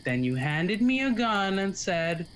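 An actor playing a bumbling, naive young stagehand who panics and whines, in a student radio comedy. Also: male voice, sits high, sing-song, unhurried, a bit bright, slight background noise, full character voice, poor audio. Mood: pleading. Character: bumbling lovesick young man, stagehand turned accomplice.

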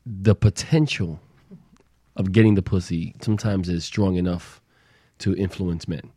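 Man, very deep husky voice, dry close sound, thoughtful musing. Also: fairly even intonation, unhurried, dark tone, no room sound. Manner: musing, theorizing. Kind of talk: candid talk radio chat.

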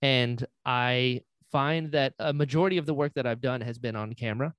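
A soft-spoken man chatting casually as a podcast host. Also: mid-range voice, normal speed, smooth, no echo, studio quality. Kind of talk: casual chat.

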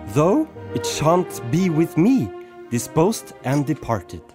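Man playing a earnest, fragile band member, unhurried, lively pitch, radio comedy play, music behind. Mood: solemn, sad. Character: earnest, sensitive male band member, eager to please, easily wounded.

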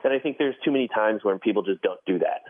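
A man's voice: fairly low pitch, fast talker, no echo. A man speaking as a podcast guest and editor in a conversational interview, over a thin phone-like line.

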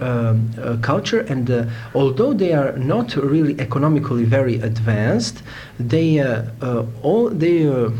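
A man's voice: mid-range voice, a little mellow. A man from Serbia with a Serbian accent, answering interview questions conversationally and searching for words in English. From Novi Sad, Serbia. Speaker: interviewee, Hare Krishna devotee. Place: a room in India. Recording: faint background noise, informal room interview.

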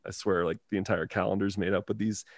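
A man in his early thirties hosts a relaxed podcast chat, talking in loose, rambling runs full of "like" and "uh". His voice sits low with a gravelly fry. The sound is dry and close, with no room around it. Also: fast, dry recording. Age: 30s. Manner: rambling, filler-heavy, laughs easily. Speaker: podcast host.

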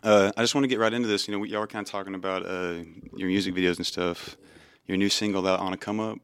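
A man's voice: deep voice, fairly even intonation, quick pace, fairly bright tone, smooth, no echo, studio quality. A man from Texas, hosting a casual podcast chat in a laid-back, cursing manner. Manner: laid-back, swears casually, hypes guests. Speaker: podcast host.

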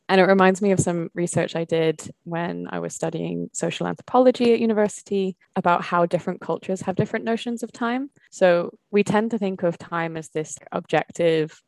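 A woman's voice: on the low side, a little fast, gravelly, few pauses, darkish tone, no room sound. A woman, co-hosting a podcast and joining the discussion in a thoughtful, conversational way. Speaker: podcast co-host. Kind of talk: casual discussion.

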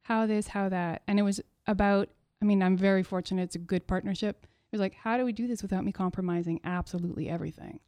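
A woman's voice: medium pitch, fairly even intonation, fast talker, hardly any pauses, warm tone, no echo, studio quality. A woman in her thirties from Quebec, chatting casually as an interview guest, laughing often and acting out quotes. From Quebec, Canada. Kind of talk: casual conversation.

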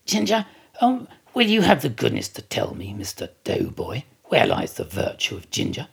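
A man's voice: on the low side, huge pitch swings, normal speed, creaky, husky, full character voice. A man voicing a sarcastic, indignant second mate of a whaling ship in a theatrical, emphatic reading of a classic novel. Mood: sarcastic outrage.

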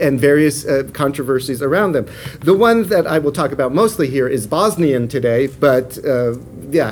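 Halting academic man lecturing. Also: a little hiss or hum. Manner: halting, full of restarts and fillers; animated, rising and falling pitch.

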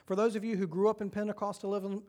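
A man's voice: high voice, little pitch movement, fast, a little mellow. A man with a Southern American accent preaching a sermon to a church, talking in a conversational way and often asking the congregation questions. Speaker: pastor.

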